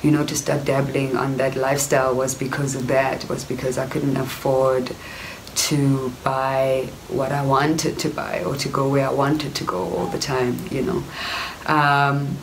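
A woman's voice: extremely low pitch, level tone, measured pace, slight background noise, poor audio. A young South African woman with a South African accent, recording herself indoors and telling her story in a reflective, casual way, often saying "you know". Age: young adult. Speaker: actress. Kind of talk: casual personal storytelling to camera. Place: indoor room before wooden cupboards. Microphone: camera at close range.

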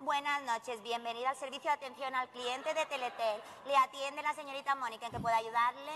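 A woman's voice: sits high, steady tone, fairly quick, sharp tone, slight background noise. A woman from playing a cheeky, unhelpful phone operator in a comic sketch. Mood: polite routine. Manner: cheeky, flippant. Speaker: customer service operator.

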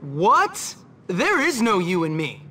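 An actor voicing a surly, sarcastic teenage troublemaker at school in a video game, blunt and dismissive. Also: male voice, high-pitched, huge pitch swings, slow, a little hiss or hum, full character voice. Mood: exasperated. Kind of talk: video game cutscene acting. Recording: dialogue under loud music.

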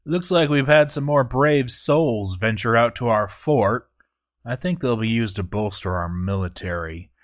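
A man voicing a proud, stern dwarf overseer in formal, measured, scripted storytelling narration. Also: medium pitch, natural voice. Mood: brisk, disapproving. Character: proud, stern male dwarf fort overseer, grandly dutiful. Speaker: narrator reading a fort overseer's journal.

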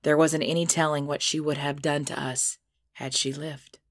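A woman playing a proud, menacing supernatural mother in an audio drama, speaking in a formal, measured, deliberate way. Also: low voice, flat delivery, dry recording, studio quality. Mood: cold, proud.

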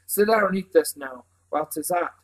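A man acts out an older village housekeeper from a storybook. She is fond, cheerful and bustling, and she chatters away in homely rustic turns of phrase, pitched fairly high for a male voice. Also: a little fast, natural voice. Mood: brisk, firm.

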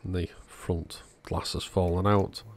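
A man's voice: low voice, little pitch movement, a little slow, a little hiss or hum. A man narrating a repair job in a casual, matter-of-fact, understated way. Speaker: host of electronics repair channel.

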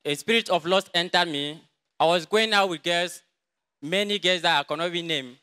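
A young man with a Cameroonian English accent gives a quiet, halting testimony in a large crowded church, speaking into a handheld mic someone else holds. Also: high-pitched, smooth. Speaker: church member giving testimony. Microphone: handheld mic held by another person.

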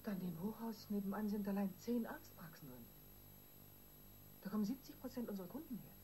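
An actress playing a hard, cool-headed, impatient wife in a radio crime drama, brisk and commanding. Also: female voice, mid-range voice, lively intonation, mellow, a little hiss or hum, lightly acted, rough recording. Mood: sharp, questioning.

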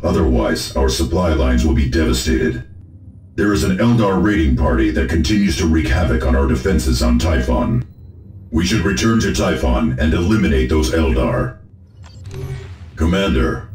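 Very deep, formal military adviser. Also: male voice, darkish tone, roomy sound. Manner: formal, measured, dutiful. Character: formal Space Marine officer advising his commander. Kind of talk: video game mission briefing acting.

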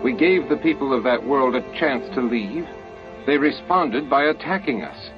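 A man's voice: medium pitch, animated, moderate pace, highs cut off, pitched above the performer's own voice, lightly acted. A proud male alien leader, as acted out by an audiobook reader, speaks with formal, earnest emphasis. He is defensive about his people, and music plays behind him. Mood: pained, defensive. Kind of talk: audiobook character dialogue.

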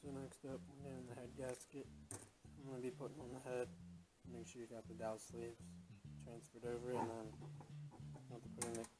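A man's voice: mid-range voice, completely flat delivery, a little fast, long pauses, poor audio. A man giving casual, plain-spoken how-to narration while he rebuilds an engine in his home garage, thinking aloud as he goes. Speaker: DIY motorcycle mechanic. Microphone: head-mounted action camera. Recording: music clearly audible under the voice.

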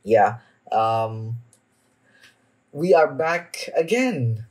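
Slow, halting young man reacting casually. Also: mid-range voice, lively intonation. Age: young adult. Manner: halting, hesitant delivery. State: somewhat sick with a cough. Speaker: anime reaction video host. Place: small indoor room at home.

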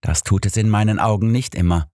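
A man voicing a devout, candid and measured male pastor in an audiobook. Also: fairly low pitch, darkish tone, no echo, studio quality, voice pushed up, close to an everyday voice. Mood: earnest.

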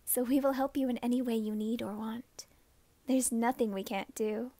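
A woman's voice: on the high side, steady tone, normal speed, mellow, no echo, close to an everyday voice. A woman playing a mischievous, grateful young witch sister back from the grave, in an ASMR roleplay.

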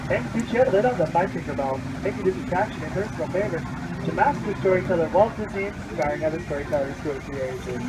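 A man's voice: sits high, lively intonation, fast, heavy background noise. A man guiding an outdoor boat ride, telling fairy-tale stories in a scripted storybook spiel with playful asides. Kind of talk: scripted tour narration.

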